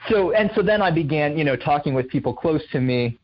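A man's voice: fairly high pitch, lively intonation, brisk, highs cut off. A young man raised in Indonesia, a guest author answering interview questions thoughtfully, with many fillers and restarts. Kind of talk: reflective interview conversation.

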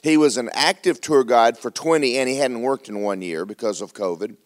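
A man's voice: medium pitch, fairly quick. A man at a church introducing a visiting speaker to the congregation in an upbeat, rallying way. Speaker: church leader introducing a guest.

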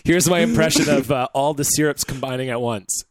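Dry-voiced man joking deadpan. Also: mid-range voice, brisk, dry recording. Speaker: podcast co-host. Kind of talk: casual comedic banter.

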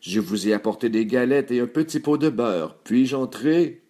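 A man voicing a storybook big bad wolf, gruff and slow, sly and menacing with a coaxing false sweetness. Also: mid-range voice, mellow, lightly acted. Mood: falsely sweet.

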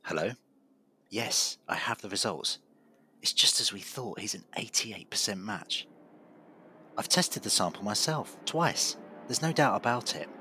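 A man playing a professional, earnest family doctor in an audio drama, speaking in a measured way. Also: fairly low pitch, animated, bright, forceful tone, a little hiss or hum, heavily acted. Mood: terse, secretive. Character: reassuring, persistent male family doctor with a secret.

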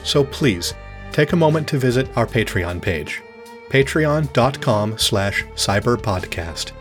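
A man's voice: mid-range voice, lively intonation, measured pace, soft background music. A man presenting a scripted promotional appeal for listener support of a show.

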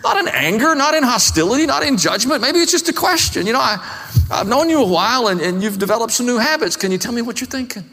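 A man's voice: very high voice, big pitch swings, quick pace, pushed and bright. A man preaching a sermon in an emphatic, plain-spoken way, stressing points with short repeated phrases. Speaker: pastor, preacher. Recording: long reverberant hall, faint music.

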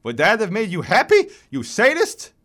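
An ancient, complaining New Yorker in a dramatized short story. The voice is high, reedy and chirpy, with a Yiddish-inflected accent. It jumps around in pitch with vigorous, snappy diction. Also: male voice, huge pitch swings, heavily acted. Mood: indignant, needling. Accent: Yiddish-inflected New York. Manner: quick, vigorous, sharp diction.

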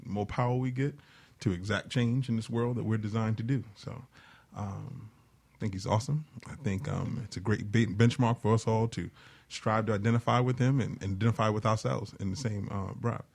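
A man's voice: fairly low pitch, fairly even intonation, fairly quick, creaky, darkish tone, breathy edge. A man on a panel talking casually and reflectively, often checking in with his listeners. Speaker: panelist on a discussion show.

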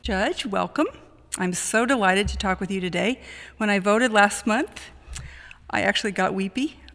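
A woman's voice: mid-range voice, moderate pace, a bit bright. A woman hosting a podcast as a law school dean, reading an introduction and then chatting with her guest. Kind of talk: podcast interview. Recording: gravelly voice, long reverb, faint music.

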